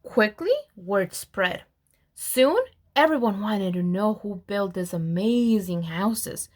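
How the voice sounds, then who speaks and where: A woman reading a children's storybook aloud in an expressive storyteller's manner, with deliberate pauses. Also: medium pitch. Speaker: program administrator reading aloud.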